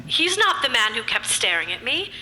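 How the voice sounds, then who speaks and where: A woman's voice: on the high side, big pitch swings, moderate pace, bright, forceful tone, heavily acted. A woman acting as an earnest, pleading rich lady in an old-time radio mystery, insistent in delivery. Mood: anxious, explaining. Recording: reverberant hall, faint background noise.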